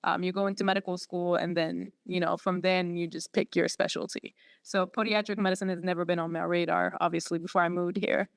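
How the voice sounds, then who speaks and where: A woman in her late twenties, from Syria, speaks. Her voice is smooth and resonant, recorded close and dry. She tells her story earnestly, stopping often to restart her sentences. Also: on the low side, level tone, dry recording. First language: Arabic. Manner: earnest, halting with many fillers and restarts. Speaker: podiatry student guest. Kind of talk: personal story in interview.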